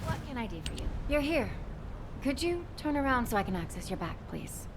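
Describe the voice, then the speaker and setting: A woman acting as a caring, protective scientist in a video game, earnest and emotionally expressive. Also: high-pitched, brisk, background noise. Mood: worried, clinical.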